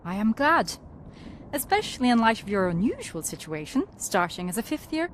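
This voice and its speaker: An actress playing a composed female school official with a formal, measured manner. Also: on the high side, very animated, a little hiss or hum. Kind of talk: video game cutscene acting.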